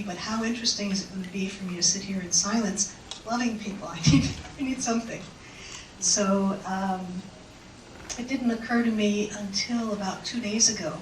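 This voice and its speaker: A woman giving a personal talk to an audience in an auditorium, speaking through a microphone in an unhurried, reflective way with pauses. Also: medium pitch, distant mic, background noise. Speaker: invited speaker. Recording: echoey hall mic heard from afar.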